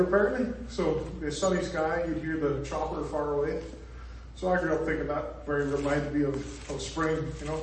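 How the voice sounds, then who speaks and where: A chatty Northern Irish preacher, a man speaking a little high for his sex and tossing jokes and asides to the room. He sounds far from the microphone, and his voice rings around a very echoey church hall. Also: darkish tone, slight background noise. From Northern Ireland. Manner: chatty and joking, with asides to the congregation. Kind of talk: conversational sermon.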